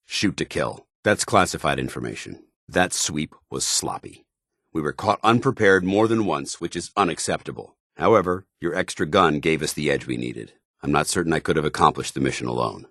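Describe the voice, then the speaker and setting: An American actor playing a stern, disciplined armored soldier in a video game, speaking in a formal, clipped, commanding way. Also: male voice, rumbling low, animated, normal speed, a bit bright, dry recording, studio quality, full character voice. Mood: stern, measured. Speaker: video game companion character. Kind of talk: scripted game dialogue and combat barks.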